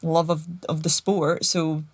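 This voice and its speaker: Scottish woman, low voice, dry sound. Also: level tone, measured pace, dry recording. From Scotland. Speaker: podcast guest. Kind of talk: casual chat.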